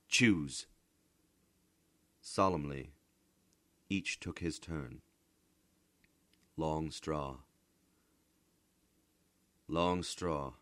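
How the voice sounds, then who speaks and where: A man narrating a literary short story dramatically, with a measured, deliberate delivery. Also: rumbling low, dry recording. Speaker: narrator, voice actor.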